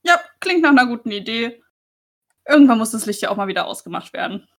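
A woman's voice: a little high, animated, fast. A woman, talking casually as a player in a tabletop role-playing game.

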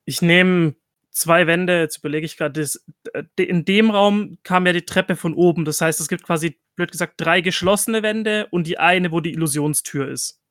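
Male tabletop player, slightly high voice, casual talk, explains his reasoning step by step, very dry recording. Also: moderate pace, fairly bright tone, no echo. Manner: thinks aloud, explains reasoning.

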